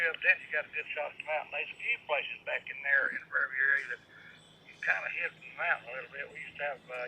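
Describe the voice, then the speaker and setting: Gravelly guy running a ham radio net, rambles n repeats himself, sharp radio sound w faint static. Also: fairly high pitch, rough recording. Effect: ham radio transmission. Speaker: amateur radio net controller. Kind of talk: casual radio net check-in chat.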